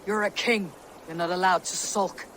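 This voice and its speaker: A woman's voice: on the low side, fairly even intonation, normal speed, fairly bright tone, natural voice, rough recording. A woman playing a steadfast Viking warrior in a video game, speaking firmly and bluntly. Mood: commanding. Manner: firm, blunt, commanding. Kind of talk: dramatic video game acting. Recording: clear background ambient noise.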